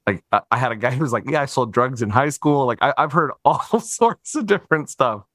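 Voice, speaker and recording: A man's voice: medium pitch, lively intonation, fairly quick, fairly bright tone, no echo, studio quality. A man hosting a podcast interview, upbeat and thinking aloud, with easy laughs. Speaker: podcast host. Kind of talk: casual interview.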